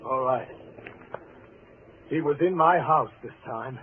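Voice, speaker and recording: An actor playing a weak, guilt-ridden lover and accomplice in a 1940s radio thriller, speaking in an anxious, hesitant, pleading way. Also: male voice, medium pitch, slow, phone-quality sound, natural voice. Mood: uneasy. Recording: noisy, thin 1940s radio recording.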